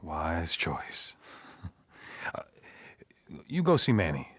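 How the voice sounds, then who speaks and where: A low-voiced leader of a survivor camp in a video game. He is folksy and commanding, with lively swings of pitch and plain, naturalistic delivery. The sound is close and dry. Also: very slow, husky, no room sound, exaggerated voice. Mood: sly, bargaining.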